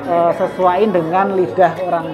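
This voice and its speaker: A man who owns a restaurant answers interview questions in a thoughtful way, pausing often to find his words. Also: sits high, little pitch movement, highs cut off. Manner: thoughtful, pauses with many fillers. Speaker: restaurant owner. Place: busy restaurant. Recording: loud music and crowd chatter. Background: music and diners chattering.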